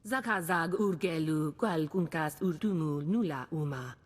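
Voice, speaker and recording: A woman's voice: deep voice, normal speed. An actress playing a female alien in a video game, speaking lines in an invented alien tongue. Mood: earnest. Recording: long reverberant tail.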